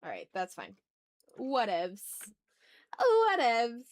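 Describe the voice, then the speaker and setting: A woman with a fairly high voice hosts a casual drawing livestream. She talks informally, half to herself and half to viewers, in slangy, playfully dramatic asides. The sound is very dry, with no room echo. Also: wildly swooping pitch, takes it slowly. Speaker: art livestreamer, host.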